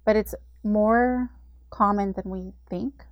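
Guest: woman at a mid pitch, informative and steady, dry studio sound. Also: slow, no room sound, muffled recording. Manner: explanatory, steady, with occasional fillers. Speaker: community services director, guest. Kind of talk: informational interview.

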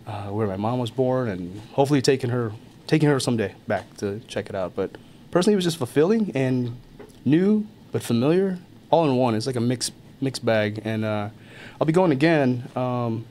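A man's voice: mid-range voice, expressive, normal speed, mellow. Easygoing man, faint background hiss. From born in Thailand, raised in America. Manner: easygoing, chuckles often, many fillers and restarts. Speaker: army NCO guest. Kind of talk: casual interview talk.

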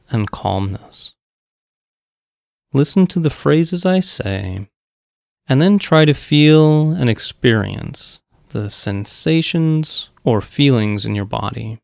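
Slow, creaky man guiding meditation. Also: mid-range voice, no room sound, studio quality. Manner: calm, measured, long pauses between phrases. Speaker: guided meditation coach. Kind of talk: guided meditation, slow soothing instruction.

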